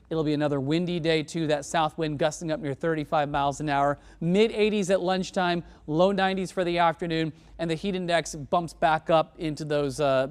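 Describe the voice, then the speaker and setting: A man in his thirties presenting a TV weather forecast in a studio, talking in a relaxed, conversational way on a lapel mic. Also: on the high side, smooth. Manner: relaxed, conversational delivery with casual words. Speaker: TV meteorologist. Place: TV studio in front of weather graphics.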